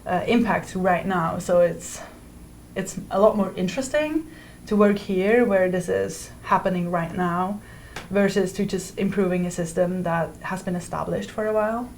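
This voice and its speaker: A woman's voice: on the low side, moderate pace, a little mellow, slight background noise, low-quality recording. A young woman from Switzerland, a PhD student, explaining her research in an interview with careful phrasing and some restarts. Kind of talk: interview answers. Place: indoor university room.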